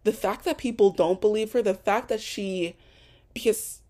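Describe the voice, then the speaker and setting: A woman's voice: mid-range voice, moderate pace, mellow. A young woman hosting a solo podcast, talking off the cuff with many restarts, as she starts to lose her voice. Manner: rambling, with frequent restarts and ums. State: losing her voice. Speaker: podcast host. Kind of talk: unscripted solo podcast monologue. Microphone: home recording for an audio podcast.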